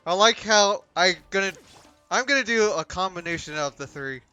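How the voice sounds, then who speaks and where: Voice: medium pitch, lively intonation, moderate pace, sharp tone, clean tone, muffled recording, faint music behind. A game streamer giving casual live commentary, close on the mic.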